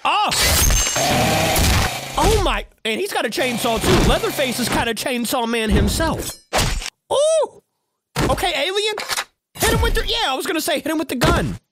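Excitable man reacting, lively pitch. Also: normal speed, long pauses, very noisy. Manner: exclaiming, laughing, reading subtitles aloud. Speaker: YouTube reaction host. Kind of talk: casual reaction commentary. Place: indoor room. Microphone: studio mic with over-ear headphones. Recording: loud backing music under voice. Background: loud music from the reacted video.

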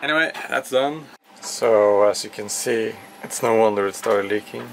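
Male sailing vlogger, young adult, fairly deep voice, informal delivery, noisy handheld-camera sound. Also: moderate pace, creaky. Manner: informal, thinking aloud. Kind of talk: casual vlog commentary. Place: small sailboat cockpit and cabin. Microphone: handheld camera at arm's length. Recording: noisy on-board camera audio, steady hum.

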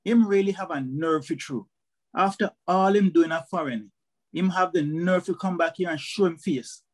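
A man's voice: high-pitched, moderate pace. An actor playing a bitter, grumbling husband and father with a Jamaican accent in a radio drama. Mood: bitter, indignant.

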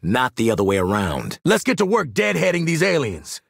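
A man's voice: medium pitch, huge pitch swings, normal speed, vocal fry, husky, no room sound, clean studio sound, heavily acted. An American man playing a cocky, hardened mercenary marksman and squad leader in a video game, brash, sardonic and commanding, with streetwise delivery. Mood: confident, brash. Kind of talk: video game combat barks and banter.

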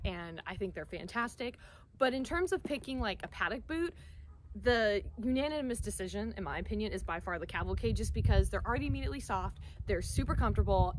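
A young American woman talking enthusiastically and emphatically, in the casual, chatty style of a vlogger reviewing products to camera. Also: mid-range voice. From Central Florida, United States. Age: young adult. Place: outdoors, by a wooden wall and open field. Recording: noisy outdoor camera audio.

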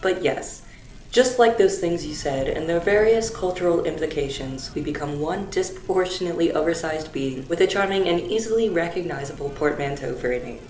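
A woman voicing a dry, formal, sarcastic alien woman in a fan dub, speaking precisely and with deadpan exasperation. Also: deep voice, brisk, lightly acted. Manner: precise, deadpan, stilted wording. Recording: roomy echo, clear background noise.

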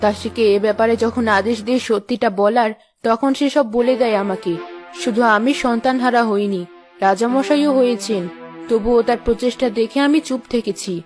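A woman performs an ailing queen mother in a fairy-tale cartoon. She sounds gentle and motherly, with music clearly playing behind her. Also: a little high, moderate pace, natural voice. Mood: calm, sorrowful.

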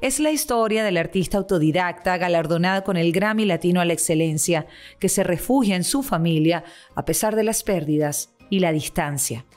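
A woman reading a scripted voice-over introduction in a measured, deliberate way. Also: fairly low pitch, a little mellow, faint music behind. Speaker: voice-over narrator. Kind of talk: scripted promo narration.

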